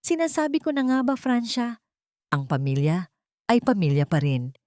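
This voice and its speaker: Female narrator as older mother, audiobook drama, higher pitch, dry close sound, sanctimonious, condescending, mock-tender. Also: very animated, moderate pace, darkish tone, dry recording. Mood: falsely forgiving. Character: stern older mother feigning pious, forgiving pity.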